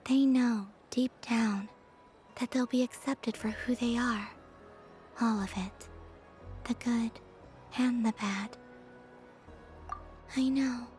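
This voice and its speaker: 一位女演员在游戏剧情中为温柔而略带忧思的年轻月神配音，声音轻柔带气声，常近乎耳语。. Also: female voice, on the high side, fairly even intonation, soft background music. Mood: tender, confiding. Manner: slow, soft, often breathy near-whisper. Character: gentle, wistful young moon goddess, softly earnest. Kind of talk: video game story dialogue.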